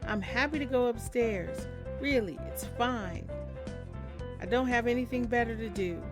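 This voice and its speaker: A woman's voice: on the high side, unhurried, over music, lightly acted. A woman reading a laid-back dead man. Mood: easygoing. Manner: relaxed and casual.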